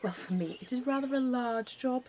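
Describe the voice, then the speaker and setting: A woman's voice: high-pitched, moderate pace, a little mellow, slight background noise, close to an everyday voice. A woman playing a prim, self-important village fete organiser in an amateur radio play, with a haughty manner. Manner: haughty, pompous.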